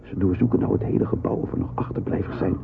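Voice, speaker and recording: An actor playing a cautious stranger giving hushed, urgent warnings in a wartime radio play. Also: male voice, sits low, fairly even intonation, fast talker, gravelly. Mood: urgent, secretive. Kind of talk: radio drama acting. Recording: noisy, phone-like old radio, street sounds.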